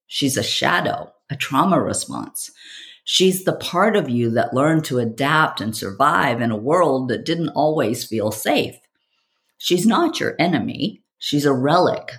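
A podcast host and coach reads a scripted monologue with theatrical flair. The voice has a lot of vocal fry and moves widely in pitch, putting questions and appeals directly to the listener.

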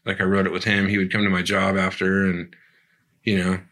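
A middle-aged punk band member in casual chat, rambling and swearing with lots of fillers. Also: male voice, deep voice, monotone, brisk, fairly bright tone.

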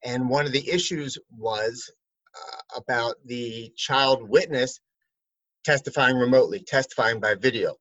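A man who works as an attorney, asking a colleague questions in a relaxed, rambling way with many fillers. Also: mid-range voice, measured pace, no echo, muffled recording. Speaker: attorney and interviewer. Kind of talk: conversational chat.

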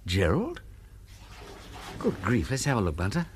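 A breezy, wildly lilting English aristocrat. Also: male voice, lowish voice, huge pitch swings, measured pace, slight background noise. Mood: dismayed but breezy. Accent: upper-class English. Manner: drawling, effusive, hesitant exclamations. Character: breezy, languid aristocratic gentleman detective. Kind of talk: radio drama acting.

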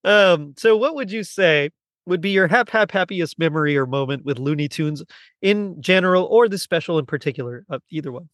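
A middle-aged man with a fairly high voice chats casually as a podcast host. The sound is very dry, with no room echo. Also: animated, brisk, a bit bright. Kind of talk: casual chat, fan discussion.

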